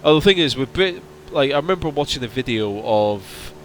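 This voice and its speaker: A man's voice: mid-range voice, moderate pace, slight background noise. Tired man chatting on a stream. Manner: relaxed, chatty, answering viewers' questions. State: voice straining, going hoarse. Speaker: flight sim streamer. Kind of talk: casual livestream chat.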